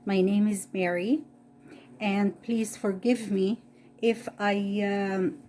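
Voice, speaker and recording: A woman from Egypt with an Egyptian accent and Arabic as her first language, reading Bible stories aloud in careful, halting English. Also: mid-range voice, steady tone, warm tone, slight background noise. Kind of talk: slow read-aloud storytelling.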